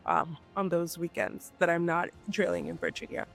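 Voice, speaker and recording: A woman's voice: on the low side, steady tone, normal speed, creaky, soft background music. A woman from Nigeria, a guest entrepreneur, talking animatedly and emphatically in a casual interview, often checking in with "right?".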